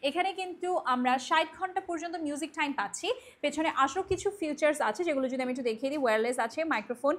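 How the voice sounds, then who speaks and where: A woman with a Bangladeshi accent giving a casual, chatty product pitch as a shop presenter, mixing in English words. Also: very fast, fairly bright tone.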